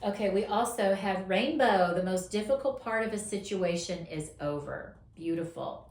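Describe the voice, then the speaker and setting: A woman doing a casual weekly tarot reading, encouraging and affirming, her voice going hoarse as she talks. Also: medium pitch, darkish tone, reverberant. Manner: encouraging, affirming, drawn-out emphasis. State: losing her voice, coughing. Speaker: tarot reader.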